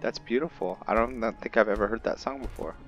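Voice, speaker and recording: A man's voice: fairly low pitch, fast talker. A man hosting a gaming video with casual roleplay commentary. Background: game music.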